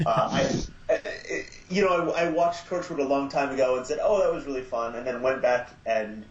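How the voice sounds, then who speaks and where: Halting man in a roomy lo-fi recording. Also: mid-range voice. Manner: halting, many fillers and restarts. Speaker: podcast guest. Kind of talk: casual podcast chat. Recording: roomy, dull lo-fi sound with faint noise.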